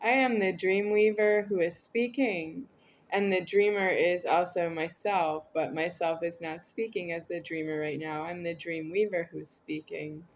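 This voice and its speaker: A woman's voice: on the low side, steady tone, measured pace, a little hiss or hum. A woman talking to camera in a meandering, repetitive, earnest monologue. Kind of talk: rambling spoken monologue to camera.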